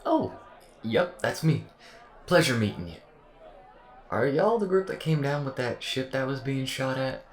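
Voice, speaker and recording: A man's voice: medium pitch, lively intonation, measured pace, long pauses, reverberant. A man playing a cheery, folksy, sweet-talking AI helper with a Southern US accent, in a scripted audio roleplay. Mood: cheerful, welcoming. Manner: chirpy, friendly, chuckling, folksy.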